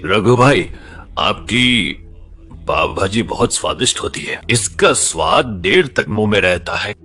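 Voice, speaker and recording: A man's voice: lowish voice, lively intonation, a little slow, pushed and bright, faint music behind. A man voicing a greedy fruit seller in a cartoon story, chummy and persuasive with his friend. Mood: chummy, boastful.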